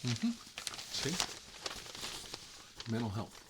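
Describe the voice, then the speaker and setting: A man's voice: medium pitch, big pitch swings, extremely slow, heavy background noise. A man with an American accent playing a chatty, hapless fellow who lives with his mother, rambling in folksy, opinionated small talk. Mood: rambling, earnest. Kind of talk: improvised roleplay monologue.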